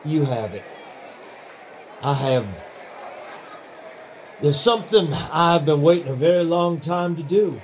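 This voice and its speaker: A man's voice: a little high, expressive, slow, pauses often, a faint crowd, a little hiss or hum, poor audio. A man playing a brooding, courtly former stage actor with a dramatic manner in an audio drama. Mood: brooding, intent. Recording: music behind the voice.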